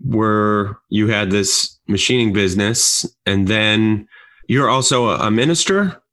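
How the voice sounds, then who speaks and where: A man's voice: lowish voice, unhurried, fairly bright tone. A man conducting an interview, asking brief questions that prompt his guest to go on.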